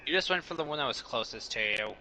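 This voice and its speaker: Bright, lively male gaming buddy. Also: mid-range voice, quick pace. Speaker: gaming stream co-commentator. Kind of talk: casual chat during gameplay.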